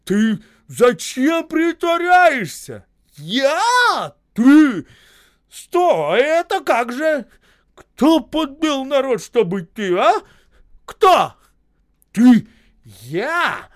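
A man voicing a hot-tempered workman in a story, yelling out short accusing questions. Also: very high voice, big pitch swings, very slow, pitched above the performer's own voice, heavily acted. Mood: outraged.

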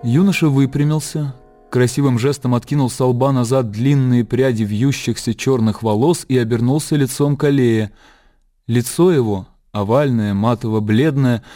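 A man reading literary narration for a radio drama in a composed, measured storytelling manner. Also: medium pitch. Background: soft music.

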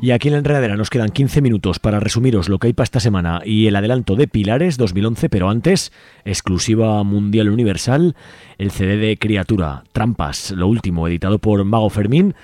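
A man's voice: fairly low pitch, a little fast, dark tone, dry recording, clean studio sound. A man with an accent from Spain hosting a radio show in a casual, colloquial and jokey way. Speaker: radio host. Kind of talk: casual chat.

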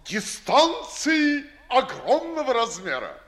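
A man's voice: very high-pitched, huge pitch swings, slow pace, fairly bright tone, exaggerated voice. A man playing a blustering, dim, self-satisfied army colonel in a 1950s radio verse comedy, declaiming bluntly and pompously.

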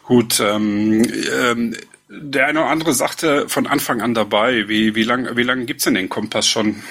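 Male podcast host, bright and husky, easygoing chat with fillers. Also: mid-range voice, measured pace. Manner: relaxed, chatty, with fillers. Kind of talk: casual chat.

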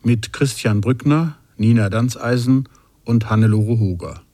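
A man's voice: medium pitch, measured pace, warm tone, no echo. A man working as announcer, formally reading out the credits of a radio drama.

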